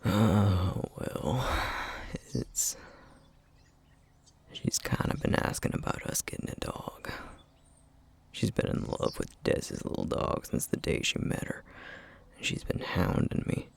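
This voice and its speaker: A man playing a tender, teasing single-dad boyfriend in an intimate ASMR roleplay, with a husky, half-whispered, affectionate murmur. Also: low-pitched, very animated, slow pace, long pauses, full character voice. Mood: gentle, hesitant. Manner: soft, hesitant, affectionate murmuring with soft chuckles. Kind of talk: ASMR roleplay, intimate one-sided dialogue to listener.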